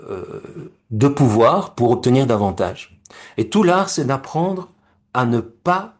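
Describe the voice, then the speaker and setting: Middle-aged guy, bit breathy, explaining his method slow and careful, asks the room questions. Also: mid-range voice. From Belgium and Rwanda. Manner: measured, explanatory, uses homely comparisons and questions to listeners. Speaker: speaker presenting his conflict-resolution method. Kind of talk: recorded talk.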